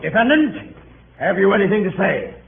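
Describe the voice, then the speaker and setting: An actor playing a pompous, officious courtroom judge in 1930s radio drama style. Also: male voice, sits high, sing-song, normal speed, creaky, narrow, tinny audio, exaggerated voice. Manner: formal, officious. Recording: noisy echoing 1930s radio broadcast.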